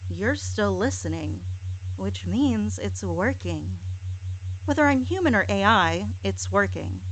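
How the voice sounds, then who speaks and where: A woman delivering a scripted monologue in a sarcastic, deliberate manner, with repetitive phrasing. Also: mid-range voice, expressive, rough recording. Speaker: hypnosis audio performer. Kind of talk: scripted monologue, mock apology.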